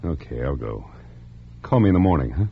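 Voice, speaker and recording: A man's voice: extremely low pitch, very animated, brisk, creaky, breathy edge, full character voice. A man playing an impatient, lovesick secret lover, sulky and insistent, in 1950s radio drama style. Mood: reluctant, tender. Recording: muffled, noisy vintage radio recording.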